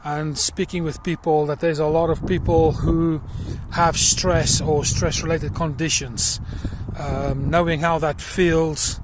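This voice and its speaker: A middle-aged man with a South African accent, talking earnestly about himself in a personal vlog while out walking in a field, with many pauses and fillers. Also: fairly high pitch, flat delivery, noisy background. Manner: earnest and reflective, pausing with fillers. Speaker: crowdfunding campaigner. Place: outdoors in a field.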